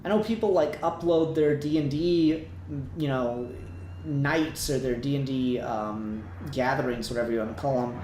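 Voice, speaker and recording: A man's voice: mid-range voice, moderate pace, darkish tone. A man casually discussing a review as a podcast co-host, rambling and thinking aloud as he goes. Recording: roomy echo, clear background noise.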